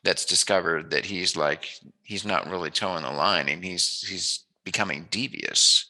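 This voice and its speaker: A man's voice: deep voice, unhurried, pushed and bright. A man hosting a casual interview, talking in an informal, meandering way. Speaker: interviewer, host.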